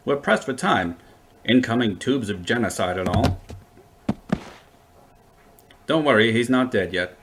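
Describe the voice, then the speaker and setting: A man's voice: medium pitch, expressive, a little slow, long pauses, background noise. A man playing a wry, unflappable time-travelling adventurer with a dry, wordy, flippant way of talking, in an audio drama.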